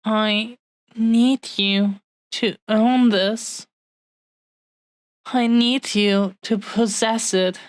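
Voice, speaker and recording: A woman playing a tender, possessive dragon woman in love in a romantic audio roleplay, with a heartfelt, halting, earnest delivery. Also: a little high, steady tone. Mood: solemn, heartfelt. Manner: heartfelt, halting, earnest pauses between short phrases. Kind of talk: romantic audio roleplay, intimate scripted monologue.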